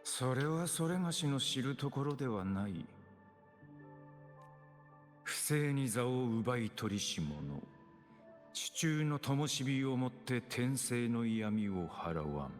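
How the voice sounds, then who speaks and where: An actor playing a solemn, stern video game warrior who speaks in formal, old-fashioned wording. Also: male voice, mid-range voice, sing-song, normal speed, lightly acted. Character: solemn, archaic-speaking male warrior on a deadly mission. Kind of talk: Japanese video game voice acting. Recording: reverberant game audio with music.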